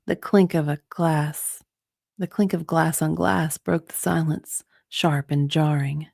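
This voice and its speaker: Slow, low, creaky woman narrator. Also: fairly even intonation, darkish tone, no echo, clean studio sound. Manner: breathy, often dropping to a whisper. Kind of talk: dramatic romance reading.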